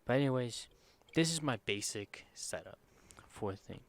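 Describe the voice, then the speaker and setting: A man with a quiet voice walking through a screen-recorded software tutorial in a casual, rambling way, full of fillers and self-corrections. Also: mid-range voice, lively intonation, normal speed, gravelly, dry recording. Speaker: streamer giving a software tutorial.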